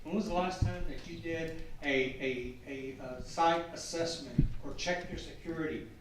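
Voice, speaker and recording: A man from a sheriff's office speaking to a chamber meeting, halting, with many fillers. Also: fairly high pitch, background noise. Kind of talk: community presentation. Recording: echoey room, far from mic.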